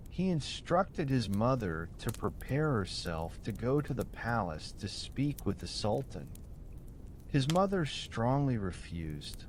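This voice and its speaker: A man reads a bedtime story at a slow, deliberate pace. His medium-pitched voice swings expressively through soothing, carefully phrased narration, with a faint low rumble behind him. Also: a little mellow.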